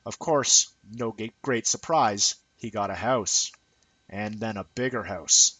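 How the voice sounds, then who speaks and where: Male narrator for essay reading, lowish pitch, somewhat rough-edged tone, dry close sound. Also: slow, pushed and bright, no echo. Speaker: amateur reader of an essay. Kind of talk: scripted essay read aloud as narration.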